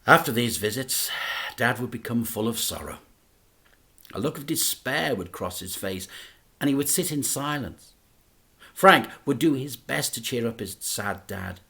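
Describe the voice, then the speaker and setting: A man, a teacher, reading a children's book aloud in an expressive, dramatic storytelling manner. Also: medium pitch, unhurried, vocal fry.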